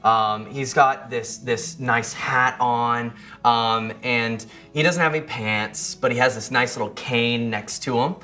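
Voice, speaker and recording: A man playing a tabletop game, casually improvising narration of his character in halting pieces. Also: mid-range voice, fairly even intonation, pushed and bright, faint music behind.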